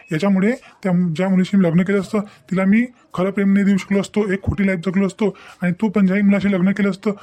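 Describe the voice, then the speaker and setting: A man's voice: high-pitched, mellow, close to an everyday voice. A man voicing a devoted, reassuring young groom in a cartoon family melodrama, explaining himself insistently and repetitively.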